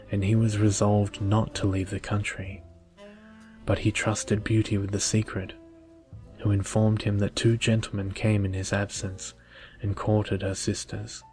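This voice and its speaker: A man narrates a bedtime story in soft ASMR style. His voice is low and very even, measured and soothing, with faint music underneath.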